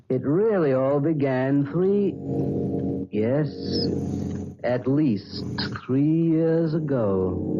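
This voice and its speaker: An actor playing a masked, mysterious playwright who speaks with suave, cold menace and narrates his own story, in 1940s radio acting. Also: medium pitch. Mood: reflective. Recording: muffled old radio recording with music.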